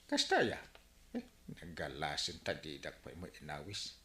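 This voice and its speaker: A man's voice: very deep voice, wildly swooping pitch, moderate pace, fairly bright tone, exaggerated voice. A man playing an exasperated middle-aged village captain in an Ilocano radio comedy drama, with an Ilocano Filipino accent. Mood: puzzled. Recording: roomy, echoing studio sound.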